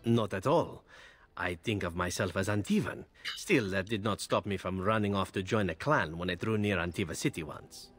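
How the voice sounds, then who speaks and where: A man's voice: low-pitched, moderate pace, dry recording, faint music behind. A suave male elf assassin from a fantasy game speaks. He is wry and world-weary, telling his tales with easy nonchalance. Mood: matter-of-fact, resigned. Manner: smooth, nonchalant storytelling.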